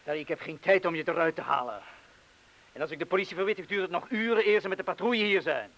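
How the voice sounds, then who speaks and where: A male actor playing a cautious, steady criminal accomplice in a radio drama, speaking in a measured, laconic, firm way. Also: high voice. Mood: urgent, firm. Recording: hissy old radio play recording.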